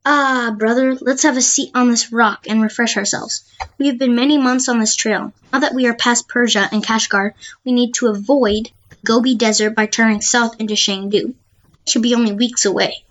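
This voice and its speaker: A woman playing a calm, confident male monk in an amateur audio play, with measured, formal delivery. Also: a little high, close to an everyday voice. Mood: calm, reassuring. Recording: dull lo-fi home recording.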